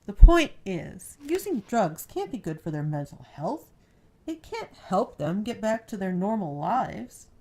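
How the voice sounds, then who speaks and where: A woman's voice: medium pitch, very animated, a little slow, darkish tone. A woman reading the part of a worried, playful young wife in an audiobook novel, in an earnest and emphatic manner. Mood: earnest, insistent. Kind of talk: audiobook character dialogue.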